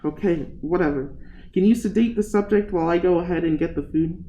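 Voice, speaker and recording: A man acts a reluctant, sulky junior scientist in an amateur radio play. The voice is high for a man and soft in tone, heard in an echoey room with faint noise. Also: brisk, lo-fi sound. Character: uneasy, grudging male scientist, the junior lab partner.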